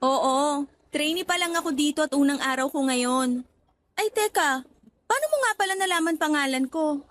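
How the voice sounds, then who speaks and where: Young female trainee, high-pitched, bubbly, chatty, playful, expressive, radio drama. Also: moderate pace, soft background music. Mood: cheerful, chatty. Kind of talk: Tagalog radio drama acting.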